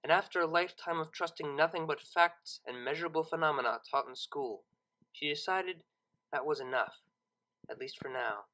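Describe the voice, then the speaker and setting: Audiobook narrator, mid-pitched, bright and forceful, dramatic deliberate phrasing. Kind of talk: expressive scripted story narration.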